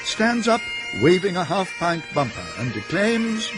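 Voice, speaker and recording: A man narrating a radio drama in a wry, measured storytelling manner. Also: high voice, very animated, over music. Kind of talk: scripted narration.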